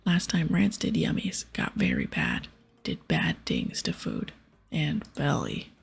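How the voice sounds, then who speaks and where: A woman's voice: rumbling low, wildly swooping pitch, takes it slowly, creaky, husky, voice pushed down, full character voice. A woman voicing a demanding, food-loving ogre from a fantasy game, whispering in childlike broken grammar. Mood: grumbling. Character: gruff, demanding ogre who loves food. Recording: soft music behind.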